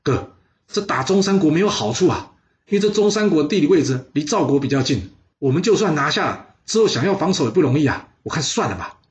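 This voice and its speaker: A man's voice: on the high side, sing-song, quick pace, voice pushed up. A man voicing an earnest younger brother who is pleading with his older brother.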